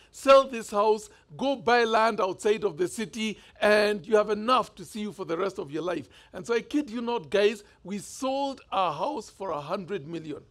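A Kenyan man in his fifties preaches from a church stage with a fairly high voice. He tells his story in a relaxed, conversational way, gesturing as he talks. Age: 50s. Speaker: bishop preaching. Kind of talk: sermon.

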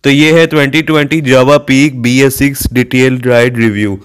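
A man's voice: medium pitch, fairly even intonation, moderate pace, clear, resonant tone. Young man, reaction host, teasing banter, living room sofa. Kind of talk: casual reaction chat.

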